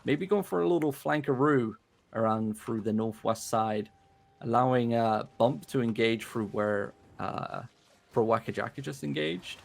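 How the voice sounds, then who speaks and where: A man giving relaxed, chatty live play-by-play commentary on an online game battle. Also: mid-range voice, unhurried, creaky. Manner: relaxed, chatty, laughs easily. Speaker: esports caster.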